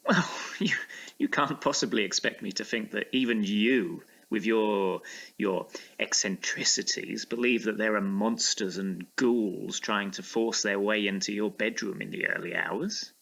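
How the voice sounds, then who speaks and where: An actor playing a sceptical, proper gentleman friend with a stammering, polite, incredulous manner in a period audio drama. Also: fairly low pitch, a little slow, vocal fry, heavily acted. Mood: incredulous, scornful.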